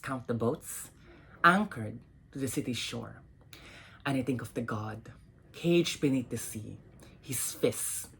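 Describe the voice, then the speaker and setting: A woman with a very deep voice delivers a prepared literary reading as the host. Faint background noise sits behind her words. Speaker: event host introducing writers and reading poems. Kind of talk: poetry reading and scripted introduction.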